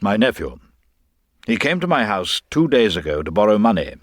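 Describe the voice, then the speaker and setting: A man's voice: lowish voice, very animated, moderate pace, no room sound, studio quality. A man voicing an irritable, imperious lord in a dramatised story, formal, clipped and demanding. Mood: aggrieved, formal. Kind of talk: dramatised audiobook reading.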